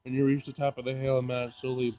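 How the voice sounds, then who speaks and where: A man playing through a visual novel, reading it aloud in an emotional, halting way with dramatic outbursts. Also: medium pitch, completely flat delivery, warm tone. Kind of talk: let's play narration with loud reactions.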